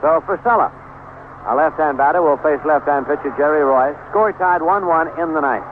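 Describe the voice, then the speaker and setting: An American man calling a baseball game live on the radio, in measured, clipped play calls with pauses. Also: fairly high pitch, phone-quality sound. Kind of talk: live radio sports commentary. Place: stadium broadcast booth. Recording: noisy band-limited 1970s AM radio broadcast.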